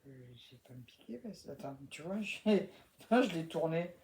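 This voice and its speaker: A woman's voice: lowish voice, lively intonation, moderate pace, noisy background. A woman casually explaining an embroidery project to her co-host and viewers while she works. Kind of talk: casual how-to chat.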